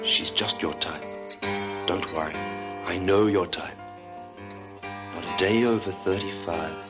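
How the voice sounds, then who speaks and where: An actor playing a worldly man tipping off a friend in an easy, knowing manner, on a dull old film soundtrack over loud guitar music. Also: low voice, measured pace. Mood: teasing.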